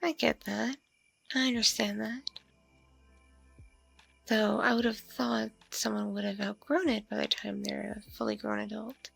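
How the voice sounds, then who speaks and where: A woman playing a lonely, flirtatious vampire neighbor in an intimate ASMR roleplay, speaking in a breathy, creaky murmur with hesitant, drawn-out pauses. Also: medium pitch, fairly even intonation, a bit bright, faint music behind, heavily acted. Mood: gently probing. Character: lonely, flirtatious, awkwardly polite pale vampire woman next door. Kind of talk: ASMR roleplay, intimate one-sided dialogue.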